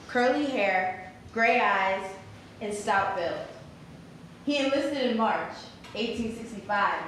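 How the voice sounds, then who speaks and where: A woman's voice: a little high, fairly bright tone, a little hiss or hum. A woman giving a staged dramatic reading of a written notice. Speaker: performer reading historical newspaper ads. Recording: very echoey hall from far off.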